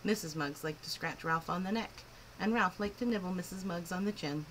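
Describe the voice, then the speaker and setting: A woman reading a picture book aloud to children in an expressive, clearly phrased storytelling style. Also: lowish voice, brisk, a little hiss or hum, low-quality recording. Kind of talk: animated children's story narration.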